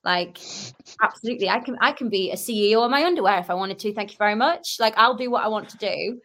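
A woman's voice: mid-range voice, fast talker, fairly bright tone. Chatty woman telling stories casually. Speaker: podcast guest, actress and sketch comedian. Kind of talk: casual chat, telling anecdotes.

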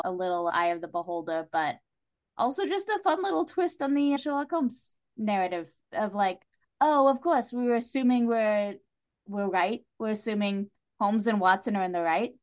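Woman, medium pitch, podcast guest, casual synopsis, conversational, quotes imagined dialogue. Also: lively intonation, moderate pace. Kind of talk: casual spoken plot synopsis.

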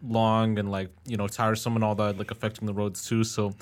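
Young dude hosting a podcast, lowish flat voice, rambles with lots of likes. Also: male voice, brisk. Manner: rambling, filler-heavy, laid-back. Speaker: podcast host. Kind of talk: casual interview talk.

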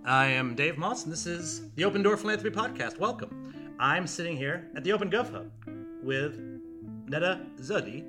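A man hosting a podcast, asking questions in a rambling, halting way. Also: on the high side, animated, music in the background. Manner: rambling, halting, many restarts. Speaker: podcast host. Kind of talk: casual interview.

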